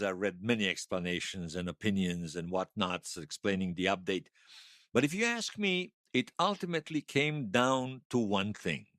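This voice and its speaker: Animated, earnest male loyalist veteran. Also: medium pitch, no echo, clean studio sound. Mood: reflective. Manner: conversational, emphatic storytelling. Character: earnest male veteran loyalist recounting an uprising, fervent believer. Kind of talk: fiction podcast monologue told as interview testimony.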